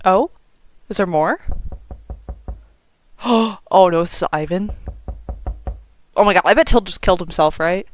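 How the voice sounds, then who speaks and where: A young woman giving casual, excitable playthrough commentary on a visual novel, gushing and swearing freely. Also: lowish voice, unhurried, long pauses, no room sound. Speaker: gaming video creator playing a visual novel. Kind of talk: casual playthrough commentary, reading game dialogue aloud. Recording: music playing behind the voice.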